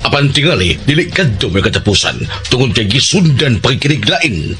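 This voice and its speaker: A man's voice: mid-range voice, big pitch swings, normal speed. A man with a Filipino accent delivering scripted radio drama narration in a solemn, dramatic manner. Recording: radio narration with music behind.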